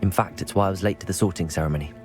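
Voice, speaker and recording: A man's voice: sits low, rapid-fire, faint music behind, close to an everyday voice. A man playing a polite, guarded student wizard in a video game, understated in manner. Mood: hesitant candour.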